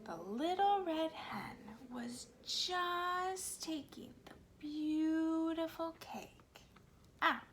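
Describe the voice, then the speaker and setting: A woman reading to young children in an expressive, deliberate way with animated phrasing and dramatic pauses. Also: very high voice, a little hiss or hum. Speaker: speech pathologist and children's storyteller. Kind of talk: expressive read-aloud storytelling for young children.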